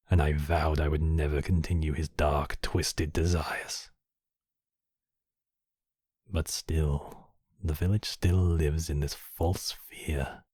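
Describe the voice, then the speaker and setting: Casting: male actor as demon king, extremely low husky register, possessive yet compassionate, regal formal delivery for ASMR roleplay. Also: a little mellow, no echo, clean studio sound, heavily acted. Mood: grave, earnest. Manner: slow, regal, formal phrasing. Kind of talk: intimate ASMR roleplay acting.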